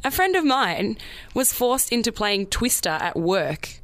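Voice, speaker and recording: A woman's voice: on the high side, very animated, a little slow, a bit bright, a little hiss or hum. A woman co-hosting a comedy podcast, bantering playfully in a mock-serious, emphatic way. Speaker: podcast co-host.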